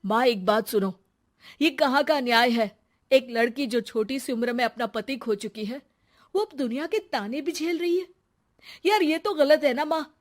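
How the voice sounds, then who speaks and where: A woman voicing an earnest, protective college-age young man in an animated moral story. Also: sits high.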